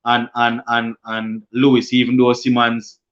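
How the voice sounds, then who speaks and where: A Jamaican man hosting a casual cricket live stream, reading viewer comments aloud and answering them, often halting and restarting mid-sentence. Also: medium pitch, completely flat delivery, fairly bright tone, lo-fi sound. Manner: halting, many restarts and fillers.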